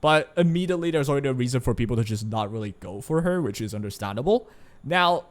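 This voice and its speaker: A man's voice: mid-range voice, lively intonation, quick pace. Chatty Chinese-native man rambling about games. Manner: chatty, rambling, frequent "right?" asides. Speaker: gaming YouTuber. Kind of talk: casual commentary.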